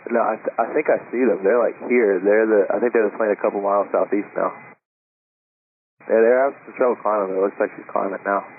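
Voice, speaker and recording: A man giving unscripted, halting traffic reports over an aviation radio. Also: on the low side, steady tone. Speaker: pilot reporting on local radio frequency. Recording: tinny, noisy air traffic radio.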